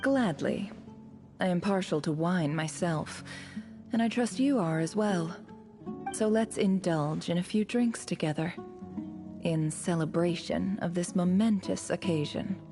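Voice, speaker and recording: Smug female envoy for a game: lowish voice, formal, condescending, quietly threatening, music underneath. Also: measured pace. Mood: politely pleased. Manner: formal, condescending, silky menace. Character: smug, scheming woman posing as a haughty ambassador. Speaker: game voice actor. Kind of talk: dramatic video game voice acting.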